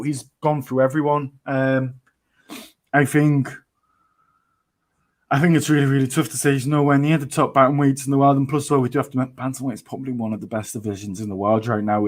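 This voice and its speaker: A man co-hosting a post-fight podcast, chatting casually and hedging his views with repeated phrases. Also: mid-range voice. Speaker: podcast co-host.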